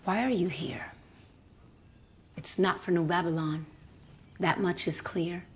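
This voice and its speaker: An actress playing a guarded woman who speaks in a measured, interrogating way. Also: lowish voice, steady tone. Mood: cold. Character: cold, guarded woman seeking justice. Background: music score.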